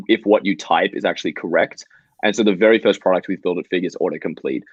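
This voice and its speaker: Aussie guy in his 20s on a video call, really low voice, kinda dull dry audio. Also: dry recording. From Australia. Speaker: startup co-founder guest. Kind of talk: explaining software on a video call. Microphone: video call microphone.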